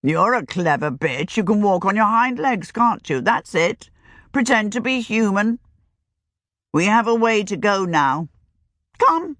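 A cruel captor man sneers and barks orders, as a woman narrator puts him on. The mocking storybook voice is read slowly and close, with a dry sound. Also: medium pitch, expressive, a bit bright, dry recording. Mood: sneering. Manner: sneering, commanding.

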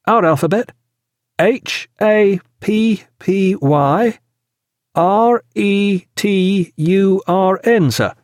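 Man playing an eager high-voiced young midshipman. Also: very slow, no echo, studio quality. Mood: dutiful excitement. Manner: bright, deferential, formal reporting. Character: eager, joyful young midshipman, a boy reporting dutifully.

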